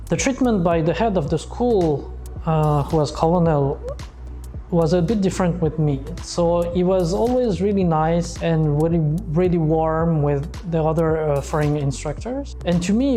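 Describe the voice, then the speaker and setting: A man's voice: a little high, warm tone. A man in his forties from France with a French accent, telling his life story in an interview, hesitant with many fillers. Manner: hesitant, frequent uh fillers, simple phrasing. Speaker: entrepreneur. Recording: faint background music.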